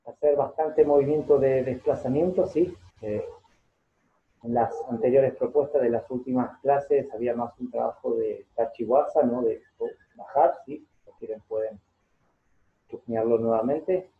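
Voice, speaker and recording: A man's voice: medium pitch, measured pace. Man calling aikido drills, tinny and roomy. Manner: terse rhythmic counting of moves. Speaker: aikido instructor. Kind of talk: calling out drills, then explaining. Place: small room at home with a mat. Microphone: camera across the room. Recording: tinny, echoey, from far off.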